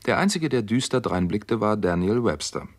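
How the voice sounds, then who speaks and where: Detective narrator, lowish voice, sober, observant, measured storytelling, sixties radio crime drama. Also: male voice, lively intonation. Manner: measured, matter-of-fact storytelling.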